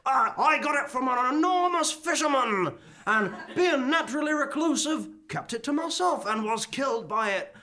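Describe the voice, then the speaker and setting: A man's voice: very high-pitched, lively intonation, moderate pace, pushed and bright, heavily acted. A man playing the rambling, cheerful ghost of a former professor, chuckling as he talks, in a live stage radio play. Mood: chatty. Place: theatre stage before a live audience.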